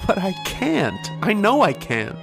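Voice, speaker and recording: A man plays a haunted, lovestruck young man in an old-time radio play. His delivery is slow and wistful, with a creaky edge to a mid-pitched voice, and music plays behind him. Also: huge pitch swings, exaggerated voice. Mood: anguished. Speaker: actor and narrator in a horror radio play. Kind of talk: old-time radio drama, first-person narration.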